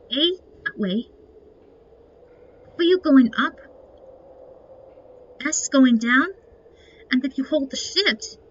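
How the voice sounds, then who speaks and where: A woman's voice: high-pitched, fairly bright tone. A woman giving casual commentary on a game playthrough, explaining things to viewers as she goes. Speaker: gamer narrating a playthrough. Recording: muffled lo-fi mic with clear noise.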